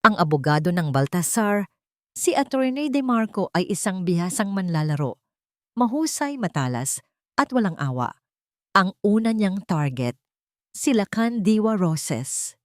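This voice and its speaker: A woman with a Filipino accent narrating a scripted dramatic story in measured, deliberate phrases. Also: medium pitch, big pitch swings, no echo, studio quality.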